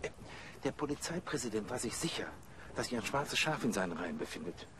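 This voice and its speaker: A man's voice: medium pitch, big pitch swings, a little fast, background noise, close to an everyday voice. A man playing a fussy, self-important provincial police chief who speechifies pompously and hesitantly in a TV drama. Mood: confiding.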